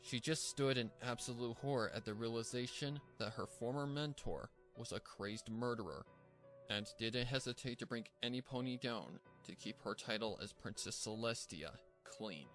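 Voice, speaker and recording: A man's voice: mid-range voice, moderate pace, a bit bright, faint music behind. A man reading scripted story narration as the narrator of a fan fiction tale.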